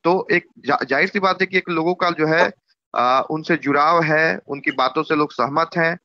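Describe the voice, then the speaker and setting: A man with a Bihari accent explaining politics informally in measured, repetitive phrases as an interviewee on a recorded phone call. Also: bright, forceful tone, no room sound. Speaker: YouTuber. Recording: muffled low-bandwidth phone call recording.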